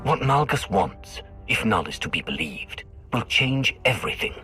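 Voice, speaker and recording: An actor playing a stern, resolute Sith hero in a video game cutscene, with a grave, commanding delivery. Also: male voice, mid-range voice, very animated, normal speed, pushed and bright, soft background music.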